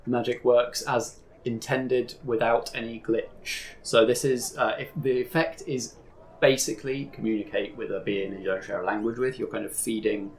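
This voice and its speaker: A man narrating as game master of a tabletop roleplaying game, measured and descriptive, with frequent fillers. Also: medium pitch, fairly even intonation, slight background noise.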